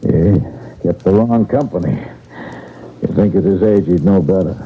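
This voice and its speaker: An actor playing a plucky, wry frontier detective in an old-time radio drama, folksy and nonchalant. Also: male voice, deep voice, moderate pace, breathy edge. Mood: wry, stoic. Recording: dull vintage radio recording.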